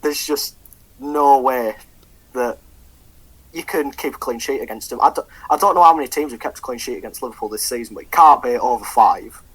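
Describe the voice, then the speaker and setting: A young man co-hosts a football podcast, and his voice has a husky, breathy edge. He talks loosely, stopping and restarting around plenty of ums and swearing freely. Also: medium pitch, brisk, a bit bright, low-quality recording. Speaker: podcast co-host. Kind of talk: casual football chat.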